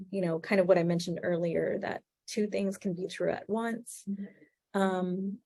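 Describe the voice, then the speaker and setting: A woman's voice: mid-range voice, a little mellow, dry recording. Chatty woman therapist teaching, dry sound. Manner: chatty, many fillers, laughs easily. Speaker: therapist presenting a webinar. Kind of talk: informal webinar teaching.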